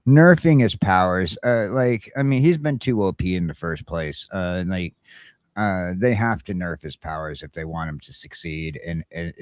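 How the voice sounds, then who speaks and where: A man chatting casually as a talk show co-host, blunt and crude, with a halting, opinionated delivery. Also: low voice, no echo, studio quality. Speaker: co-host of a geek culture morning chat show.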